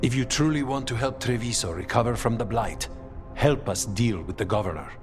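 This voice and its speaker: An actor playing a stern, vengeful member of a city crime guild in a video game, speaking in clipped, grave statements. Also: male voice, mid-range voice, brisk, lightly acted. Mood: stern resolve. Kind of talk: video game cutscene acting. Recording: music behind the voice.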